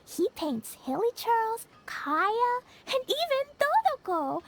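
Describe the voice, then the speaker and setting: A woman voicing a cheerful, innocent and excitable little girl in a video game, with an earnest childlike manner. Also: extremely high pitch, takes it slowly, background noise, heavily acted. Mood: excited. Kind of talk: English video game voice acting.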